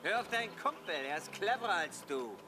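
Menacing, high-pitched stranger. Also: male voice, very animated, a little fast. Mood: coolly menacing. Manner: scornful, threatening. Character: gruff, menacing male stranger scolding youngsters. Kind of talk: German audio drama acting. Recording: clear background noise.

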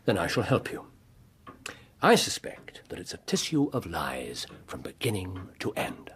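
An actor playing a brisk, commanding gentleman detective with an English accent in a radio drama, precise and probing. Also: deep voice, wildly swooping pitch, slight background noise, full character voice. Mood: coolly incisive. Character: incisive, commanding middle-aged gentleman detective. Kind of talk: British radio drama acting.

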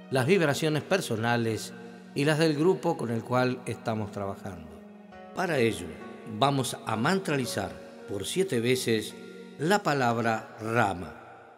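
Male meditation leader, solemn and measured invocation, long hall echo, music underneath. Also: medium pitch. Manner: solemn, deliberate, instructing in short measured phrases.

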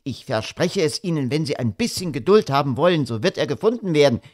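A man's voice: fairly high pitch, lively intonation, fairly quick, a little mellow, pitched above the performer's own voice. A man voicing a flustered, anxious male hotel servant who is eager to please. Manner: anxious, deferential.

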